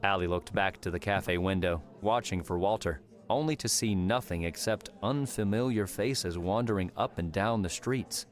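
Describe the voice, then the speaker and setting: Very deep-voiced male audiobook narrator. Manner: measured storytelling. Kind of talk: scripted fiction narration.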